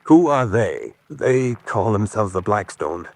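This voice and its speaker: An actor playing a desperate, hunted American spy in a radio drama, pleading with urgent intensity. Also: male voice, fairly low pitch, sing-song, slow, heavily acted.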